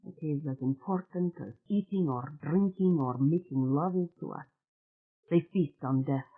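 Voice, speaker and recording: A woman voicing a grave, solemn man who explains in measured tones, heard with a tinny, phone-like sound. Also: deep voice, breathy edge.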